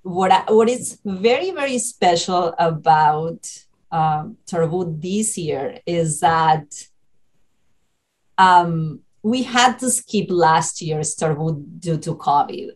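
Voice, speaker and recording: A woman's voice: lowish voice, slow pace, rough recording. A woman from Argentina with an Argentine Spanish accent, answering interview questions as a programming manager in an earnest, explanatory way with many ums and uhs. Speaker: community centre programming manager.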